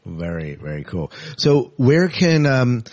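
A man's voice: mid-range voice, very animated, takes it slowly, darkish tone. A man hosting a casual interview in an informal, upbeat way. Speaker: podcast host.

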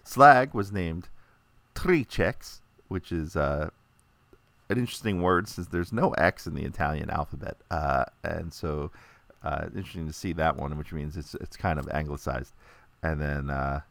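Very deep-voiced man, chatty and dry. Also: normal speed, gravelly, fairly bright tone, dry recording. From United States. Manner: chatty, many "uh" fillers, lightly joking. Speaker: toy collector presenting a video. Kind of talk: casual informational monologue.

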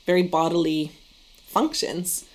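A woman's voice: lowish voice, measured pace, a crowd in the background. A middle-aged woman from Canada, a migration researcher talking as a guest in a thoughtful, earnest way. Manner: thoughtful, earnest, academic phrasing. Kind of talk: conversational interview.

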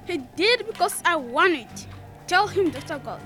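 A woman's voice: very high voice, expressive, moderate pace, sharp tone, soft background music, heavily acted. A teenage girl playing an earnest, guilt-ridden young wife in a radio drama, pleading as she speaks. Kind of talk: youth radio theatre acting.

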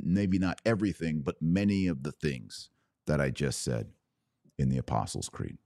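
A man hosting a religious podcast, preaching in an emphatic, declarative way with deliberate pauses and repetition. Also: sits low, darkish tone, dry recording, studio quality. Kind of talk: preaching monologue.

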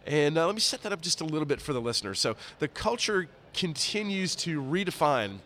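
A man with a raspy, nasally congested voice, chatting casually as a podcast host. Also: fairly high pitch, sing-song, fairly quick, a bit bright. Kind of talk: casual interview chat. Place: conference center during a busy event. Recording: live at a busy event venue.

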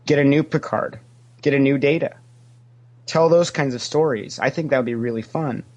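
A man talks as a guest in a relaxed fan conversation. His voice sits at an ordinary middle pitch. He states opinions firmly and repeats phrases to press each point. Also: normal speed, highs cut off. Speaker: podcast interview guest. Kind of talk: casual fan discussion.

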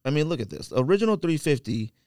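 Animated man rambling in casual slang. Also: fairly high pitch, fast, no room sound, studio quality. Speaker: podcast co-host. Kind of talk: casual opinionated sneaker chat.

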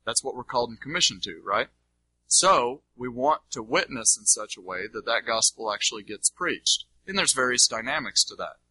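Bright, forceful, husky man teaching. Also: mid-range voice, animated, moderate pace, dry recording, low-quality recording. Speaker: teacher answering audience questions. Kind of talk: unscripted teaching in a question and answer session.

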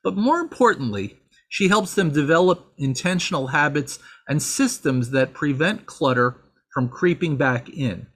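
A man hosting a podcast, introducing a guest and reading a promo in a measured way. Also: on the high side, animated, a bit bright. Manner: measured, spells out web addresses slowly. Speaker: podcast host.

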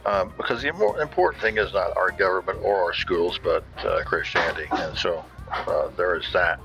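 A man with a fairly deep yet bright, forward voice hosts a solo podcast. His delivery is casual and rambles with hesitations, over light music. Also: moderate pace, vocal fry, dull audio. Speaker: podcast host.

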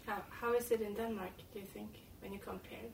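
A woman asking short, conversational questions as an interviewer, heard quietly from off the microphone. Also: medium pitch, fairly even intonation, fairly quick, vocal fry, breathy edge, low-quality recording.

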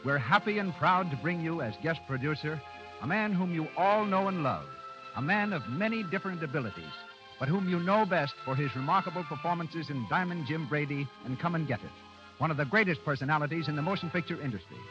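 A man's voice: on the high side, lively intonation, brisk. 1930s radio announcer man over music. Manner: formal, grandly presentational. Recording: dull 1930s radio broadcast recording.

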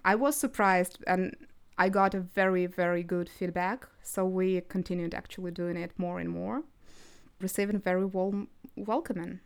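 Smooth-voiced woman guest. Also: lowish voice, moderate pace. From Crimea, Ukraine. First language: Russian. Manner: animated storytelling, frequent hesitations. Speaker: podcast guest. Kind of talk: casual interview chat.

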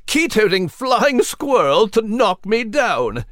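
A man's voice: fairly high pitch, normal speed, dry recording, studio quality. A man putting on a prim little spectacled mouse. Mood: jubilant. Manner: prim, excitable, old-fashioned exclamations. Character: cheerful proper little male mouse with spectacles.

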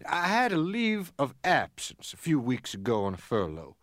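Dignified Union sergeant, swooping oratory. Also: male voice, mid-range voice, huge pitch swings, normal speed, a bit bright, dry recording, studio quality. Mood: impassioned. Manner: oratorical, formal, impassioned. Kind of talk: dramatic reading of a formal letter.

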